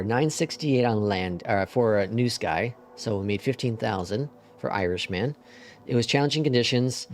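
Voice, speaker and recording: A man with a Midwestern accent who streams a flight simulator, chatting casually and muttering to himself as he works the controls, with some mild swearing. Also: fairly low pitch. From Upper Midwest (Minnesota/North Dakota). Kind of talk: casual live-stream commentary.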